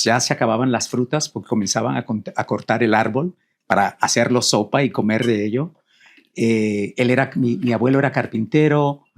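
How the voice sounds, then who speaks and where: Man telling his story. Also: mid-range voice. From Puerto Rico. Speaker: guest, co-author. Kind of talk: personal storytelling in conversation.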